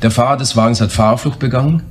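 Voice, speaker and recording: An actor playing a concerned police colleague in a German crime radio drama, brisk and matter-of-fact, asking probing questions. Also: male voice, medium pitch, close to an everyday voice. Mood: grave, probing.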